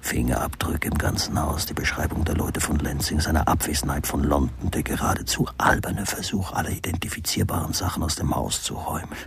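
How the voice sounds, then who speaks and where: An actor playing a brooding, sardonic writer in a radio drama, musing to himself in a hushed, breathy voice. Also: male voice, rumbling low, fairly quick, hardly any pauses, slight background noise. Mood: brooding, calculating. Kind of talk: radio drama inner monologue.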